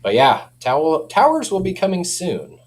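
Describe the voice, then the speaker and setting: A man's voice: sits high, huge pitch swings, a little slow, a little hiss or hum. A man chatting casually and enthusiastically about products as a company representative, in informal language. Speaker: audio company representative, guest.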